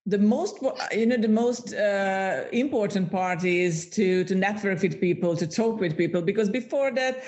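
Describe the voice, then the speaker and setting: A woman's voice: mid-range voice, moderate pace, few pauses, darkish tone. A woman from Hungary, a native Hungarian speaker with a Hungarian accent, talking upbeat and searching for words as a guest from her home office. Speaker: podcast agency owner, guest. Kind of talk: casual remote interview.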